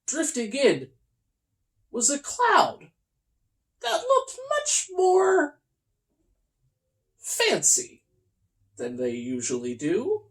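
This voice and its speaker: A host reading a comic children's storybook aloud in a theatrical, mock-earnest way with deliberate pauses. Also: high voice, wildly swooping pitch.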